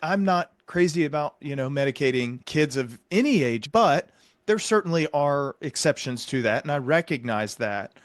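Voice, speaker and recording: Earnest man explaining, slightly higher voice. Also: animated, normal speed, no echo, studio quality. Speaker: psychiatric provider and podcast host. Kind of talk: conversational interview explanation.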